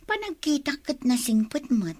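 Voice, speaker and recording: A woman's voice: high voice, very animated, normal speed, a little mellow, dry recording. A woman playing a shy, sweet young provincial woman in an Ilocano radio drama, with an Ilocano accent. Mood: smitten.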